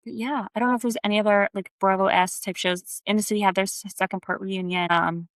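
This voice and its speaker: A woman's voice: medium pitch, fairly even intonation, fast, no echo. A young woman from Texas, co-hosting a podcast in casual chat, bubbly and full of "like" fillers. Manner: chatty, bubbly, lots of "like" fillers. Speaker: podcast co-host.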